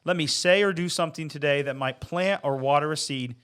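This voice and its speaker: A man's voice: on the high side, brisk, a bit bright, clear, resonant tone. An earnest man talks through matters of faith as a podcast panelist. His delivery is conversational, and he often checks that the others understand him. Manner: earnest, checks in with "you know what I mean". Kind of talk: casual religious discussion.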